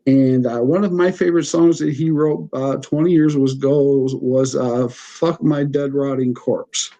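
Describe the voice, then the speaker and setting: A middle-aged man hosts a casual podcast interview. His voice is dark and mellow, set at an ordinary pitch for a man. He talks in an enthusiastic, folksy way, full of laughs and asides. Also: fairly even intonation, a little slow. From United States. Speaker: podcast host.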